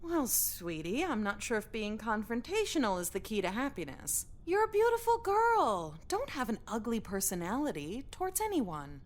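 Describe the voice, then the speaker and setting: A woman's voice: a little high, wildly swooping pitch, normal speed, few pauses. A woman playing a preachy, sweetly coaxing middle-aged woman in a game, lecturing in a saccharine manner. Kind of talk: scripted visual novel dialogue.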